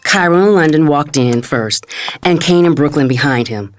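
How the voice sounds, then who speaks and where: An actress plays a middle-aged mother narrating her family drama. Her voice is deep for a woman and very expressive, with an indignant, protective temper. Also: moderate pace, faint music behind. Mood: tense. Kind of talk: first-person dramatized audiobook narration.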